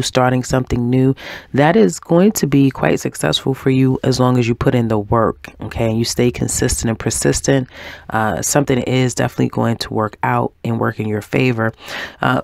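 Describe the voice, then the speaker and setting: A man with a head cold giving a weekly tarot reading, unhurried and reassuring, with many pauses and ums. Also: medium pitch, gravelly, a little mellow, dry recording, studio quality. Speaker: tarot reader.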